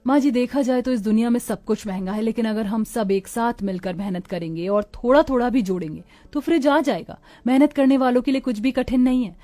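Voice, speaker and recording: A woman's voice: fairly high pitch, brisk, few pauses, dark tone, no room sound, faint music behind, lightly acted. A woman playing a kind, hopeful young aunt and daughter-in-law in a cartoon story, earnest and reassuring. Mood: earnest, determined. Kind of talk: cartoon moral story acting.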